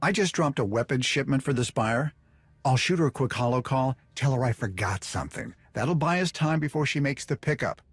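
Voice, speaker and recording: Game role: self-serving smuggler, glib and jittery, very animated delivery, slightly husky. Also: male voice, medium pitch, a little fast, dry recording. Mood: glib, scheming. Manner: glib, wheedling, stammers when cornered. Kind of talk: video game voice acting.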